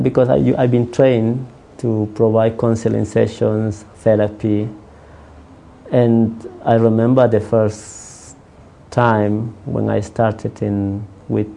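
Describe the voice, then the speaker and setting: A man working as a counselor whose first language is not English, answering interview questions in a halting way, with many restarts. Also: lowish voice, vocal fry, warm tone, slight background noise.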